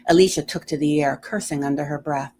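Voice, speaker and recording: A woman's voice: deep voice, fairly even intonation, a little fast, dark tone, slight background noise. A woman reading a horror story aloud in a dramatic narrating style.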